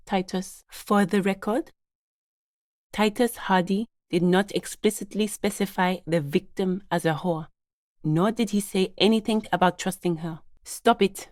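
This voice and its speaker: A stern, guarded female lawyer from a video game, acted by a woman. She speaks in a mid-pitched voice with a formal, clipped delivery and firm authority. The recording is close and dry, with no room around the words. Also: a little mellow, no room sound, close to an everyday voice. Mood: dry, exasperated. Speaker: video game character voice actor. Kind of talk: scripted game dialogue.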